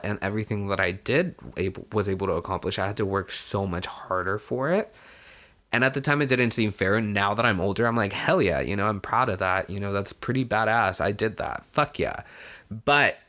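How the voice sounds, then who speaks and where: A man's voice: lowish voice, fairly bright tone. A young man, born in Mexico, telling his own story in a casual, conversational way, peppering it with "you know" and the odd swear word. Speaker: vlogger. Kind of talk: casual personal storytelling to camera.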